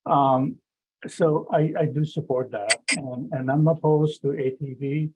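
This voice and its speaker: A man with a low voice speaking hesitantly as a resident at a town meeting, with many pauses and restarts. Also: steady tone, noisy background. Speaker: resident speaking at public comment. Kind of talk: public comment at a town meeting.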